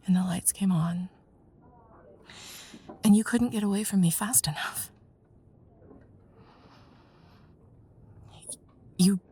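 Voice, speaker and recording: A woman playing a young student in love with her best friend, in an intimate ASMR roleplay, speaking softly and hesitantly, often near a whisper. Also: lowish voice. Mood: hurt, confused. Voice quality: soft breathy, husky, often near whisper. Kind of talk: intimate ASMR roleplay, one side of a dialogue.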